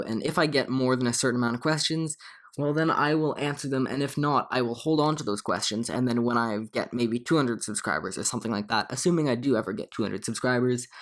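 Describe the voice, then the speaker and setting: A slightly hoarse young man with a cold, talking casually over game footage as a channel host, rambling with frequent ums and restarts. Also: mid-range voice, a bit bright. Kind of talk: casual gameplay commentary.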